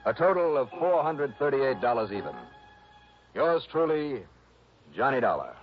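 An actor playing a no-nonsense, wry insurance investigator in a 1960s radio detective drama, speaking in a brusque, insistent way. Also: male voice, mid-range voice, big pitch swings, normal speed, noisy background, lightly acted. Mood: wry, dramatic. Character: brisk, wry, hard-nosed male insurance investigator. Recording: muffled vintage radio recording.

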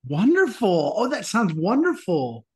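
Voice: medium pitch, huge pitch swings, unhurried, a little mellow, husky. A podcast host interviewing guests, affirming their answers enthusiastically. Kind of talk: interview hosting.

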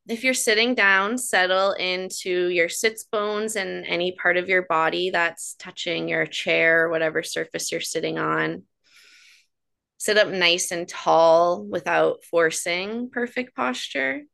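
A woman hosting a wellness podcast, reading an upbeat scripted intro and guiding slow breathing. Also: lowish voice, creaky. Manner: upbeat, slows to a calm guiding pace. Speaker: podcast host.

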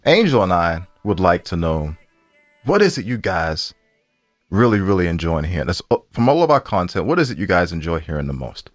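A man with a low voice co-hosts a podcast in a casual, bantering style. His delivery is lively, rising and falling a lot. The sound is close and dry. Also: brisk, no room sound, faint music behind. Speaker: podcast co-host.

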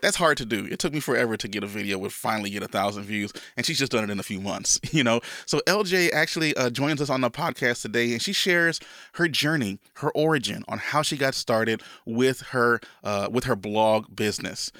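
A man's voice: medium pitch, fairly quick, sharp tone, no room sound, clean studio sound. A man hosting a podcast, sounding a little congested from a cold, enthusiastic and rambling with restarts. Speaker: podcast host. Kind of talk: casual podcast intro and interview.

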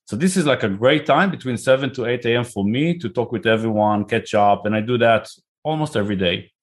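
A man's voice: mid-range voice, brisk. A middle-aged man with an Israeli accent, a guest entrepreneur talking casually in an interview, often repeating words as he starts a thought. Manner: repeats words when starting, chatty and relaxed.

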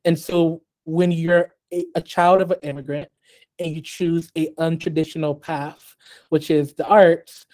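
Guy on a podcast, haiti-born, raised in the us, mellow voice, lots of ums, starts over a lot. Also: on the high side, level tone. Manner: measured, many ums and restarts. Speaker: guest artist. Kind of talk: podcast interview.

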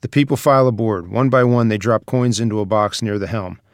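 A man's voice: on the low side, brisk, no room sound, studio quality. A man reading scripted stage directions as the narrator of a screenplay table read.

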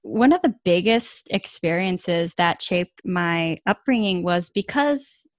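A woman in her thirties from California speaks as a podcast guest. Her voice sits slightly low, and the recording is dry and close. She tells her story in an eager, chatty way. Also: expressive, takes it slowly, no echo. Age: 30s. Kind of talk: podcast interview.

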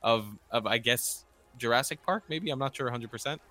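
A man's voice: mid-range voice, a little fast, pushed and bright. A man chatting informally and enthusiastically on a podcast, often correcting himself as he goes. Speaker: podcast guest or contributor. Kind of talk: casual podcast chat.

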